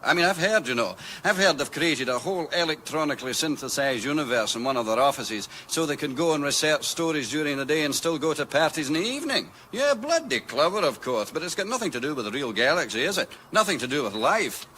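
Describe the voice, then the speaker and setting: A man's voice: fairly high pitch, lively intonation, quick pace, few pauses, pushed and bright, a little hiss or hum. An actor playing a chatty, working-class space freighter crewman who gripes away in a radio comedy. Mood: grumbling. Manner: talkative, griping, rhetorical tag questions.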